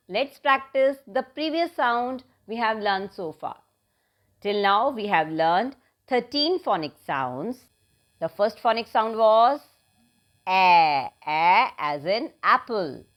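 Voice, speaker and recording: Lady teaching little kids phonics, talks super slow, repeats the sounds over and over. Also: medium pitch. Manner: slow, patient, stretches and repeats letter sounds for young learners. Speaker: kindergarten teacher. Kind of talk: children's phonics lesson with read-aloud story.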